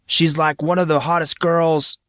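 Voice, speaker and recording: A man acts out a teenage dude in an audiobook, excitable yet laid-back. His speech is slangy and full of amazement, in a dry recording. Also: fairly high pitch, dry recording, pitched above the performer's own voice, lightly acted. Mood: awestruck. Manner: slangy, drawn-out dude talk.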